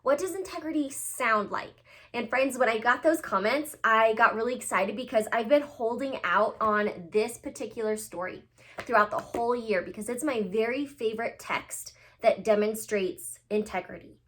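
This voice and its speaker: A woman teacher talking to young children and reading a storybook aloud in an expressive, emphatic way. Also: a little high, normal speed.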